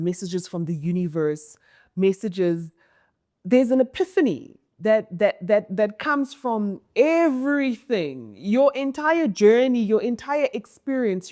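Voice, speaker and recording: Woman, South African accent, low commanding voice, emphatic, repeats words, mentor in interview. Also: a little mellow. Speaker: mentor and entrepreneur. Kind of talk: reflective interview answer.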